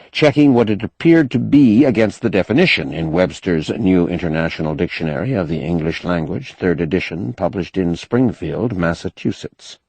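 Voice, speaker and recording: A man reading a novel aloud as an audiobook narrator, in an expressive, measured storytelling manner with careful phrasing. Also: low-pitched, no room sound, low-quality recording. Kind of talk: scripted narration of a science fiction novel.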